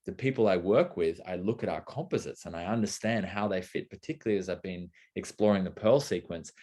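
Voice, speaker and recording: A man's voice: low-pitched, a little mellow. A young adult man talking casually and thoughtfully, rambling with lots of fillers and restarts. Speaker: co-host of a live talk. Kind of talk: casual conversational talk.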